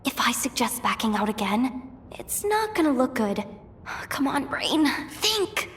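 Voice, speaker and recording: A cheeky young treasure-hunting girl from a video game, with a high voice. She talks dramatically, half to herself, scheming and easily rattled. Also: very animated, measured pace, fairly bright tone, a little hiss or hum, heavily acted. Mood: anxious, self-talking. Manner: chatty, dramatic, self-talking.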